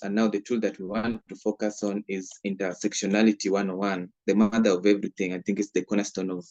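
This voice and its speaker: A man's voice: on the low side, darkish tone, dry recording, lo-fi sound. A man moderating an online workshop on a video call, thanking speakers and introducing the next facilitator.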